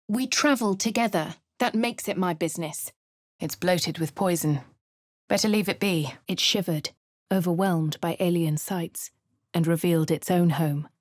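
A woman's voice: fairly low pitch, dry recording, studio quality. An actress with a posh English accent voicing a wry female fantasy adventurer in a video game, narrating and speaking in character.

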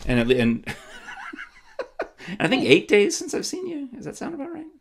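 A man's voice: very high voice, a little slow, vocal fry, slight background noise. A man in his fifties hosting a casual chat from home, joking and laughing often. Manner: animated, joking, laughs a lot. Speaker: host. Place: home room, indoors. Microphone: desk camera framing.

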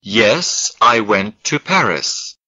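A man reading slow model sentences for an English lesson, each word carefully spaced. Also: on the low side, expressive, bright, forceful tone, lo-fi sound. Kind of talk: slow scripted language drill.

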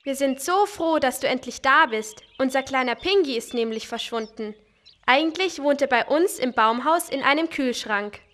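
Children's game: a cheerful young girl, high and bright, eager and pleading. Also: animated, moderate pace. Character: cheerful cartoon girl Lolli, young and friendly.

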